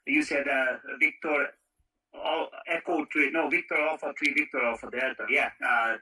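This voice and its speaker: Male radio-net caller, very forceful edgy tone with rasp, hesitant, speaking through a phone app. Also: mid-range voice, steady tone, moderate pace. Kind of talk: casual radio chat. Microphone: talking into a phone through a walkie-talkie app. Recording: harsh, distant walkie-talkie app audio.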